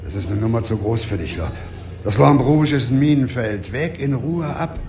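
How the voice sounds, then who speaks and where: A man's voice: mid-range voice, lively intonation, darkish tone, lightly acted. An actor playing a resigned, wary former police commissioner in his fifties in a radio drama, speaking wearily and cautioning. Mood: bitter, cautioning. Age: 50s. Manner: slow, weary, cautioning. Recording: echoey room, faint background noise.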